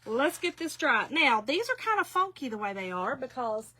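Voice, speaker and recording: A woman's voice: high-pitched, sing-song, moderate pace, bright, forceful tone, breathy edge. A woman in her fifties giving a chatty, folksy crafting tutorial, often talking to viewers as y'all. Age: 50s. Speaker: craft demonstrator.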